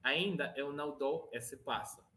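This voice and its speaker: A man's voice: fairly high pitch, a little slow, bright, forceful tone. A Venezuelan man with Spanish as his first language, speaking Portuguese with a Venezuelan accent as an interview guest, hesitant and often restarting as he searches for words. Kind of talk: casual interview chat.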